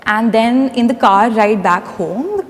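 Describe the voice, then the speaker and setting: A woman's voice: fairly high pitch, takes it slowly, slight background noise. A woman in her twenties making an earnest argument in a panel debate. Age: 20s. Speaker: debate participant. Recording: reverberant studio hall.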